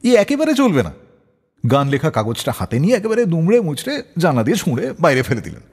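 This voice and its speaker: A male radio host tells stories in a dramatic style. His pitch swings very widely as he acts out dialogue, and his voice is rather husky. It sits in a long reverb. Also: quick pace. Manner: animated, acts out quoted dialogue. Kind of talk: dramatic radio storytelling between songs.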